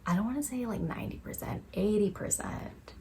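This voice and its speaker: A woman's voice: mid-range voice, lively intonation, brisk, creaky, mellow, a little hiss or hum. Chatty young woman, mixed Malaysian-Taiwanese accent. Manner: laughing storyteller, chatty with many fillers. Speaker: podcast co-host. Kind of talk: casual chat.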